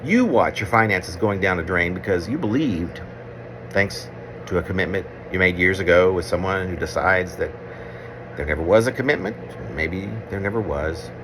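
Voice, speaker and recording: Low-voiced man reading poetry, noisy recording. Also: normal speed. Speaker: poet reading own work.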